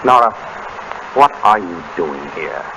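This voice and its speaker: A man's voice: deep voice, lively intonation, measured pace, pushed and bright, full character voice. A man playing a suave, dry, unflappable amateur sleuth in a 1930s radio drama. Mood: brisk, unruffled. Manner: casual, wry. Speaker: radio actor. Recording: very noisy 1930s radio recording.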